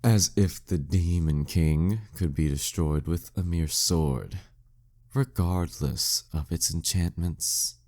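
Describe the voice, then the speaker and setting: A man's voice: deep voice, a little mellow. A man playing a flirty, dominant demon king villain in an intimate audio roleplay, teasing and smug in manner. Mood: taunting, condescending. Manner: teasing, smug, slow deliberate delivery.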